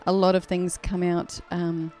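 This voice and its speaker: A woman's voice: lowish voice, level tone, measured pace, dark tone, soft background music. A woman from New Zealand with a New Zealand accent tinged Australian, chatting casually on a live stream, laughing often and using many fillers. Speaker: singer hosting a live stream.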